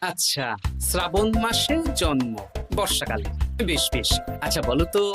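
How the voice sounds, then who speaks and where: Woman voicing a lively witty court jester. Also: deep voice, normal speed. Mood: playful, quizzing. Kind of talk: cartoon voice acting. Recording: loud music behind the voice.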